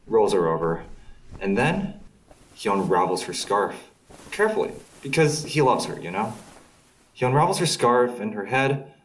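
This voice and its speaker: A young man acting as a defensive guy casually telling a story to friends in an audio drama. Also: on the low side. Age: young adult. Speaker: student audio drama actor. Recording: slightly distant from the mic.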